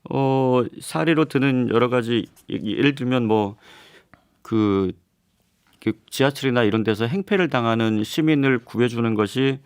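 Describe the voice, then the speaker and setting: A man, a professor, answering questions on a radio current-affairs show in a steady, explanatory way, with some hesitant fillers. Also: mid-range voice, measured pace, clean tone, no echo, studio quality. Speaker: political science professor guest. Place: radio studio. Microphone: studio microphone.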